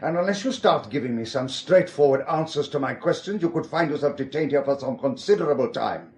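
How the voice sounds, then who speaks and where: An actor playing a suave, probing German police inspector in a radio drama, formal and precise, with a German accent. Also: male voice, on the high side, lively intonation, fairly quick, roomy sound, natural voice. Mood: stern, insistent. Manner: formal, precise, elaborately polite.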